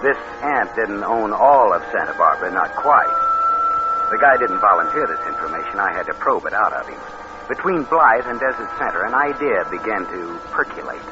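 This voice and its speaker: A man's voice: fairly low pitch, very animated, moderate pace. An actor playing a cynical, scheming drifter in his twenties, narrating in wisecracking slang in 1940s radio style. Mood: sly, wry. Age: 20s. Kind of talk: 1940s radio acting, hard-boiled narration. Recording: dull old radio recording with music.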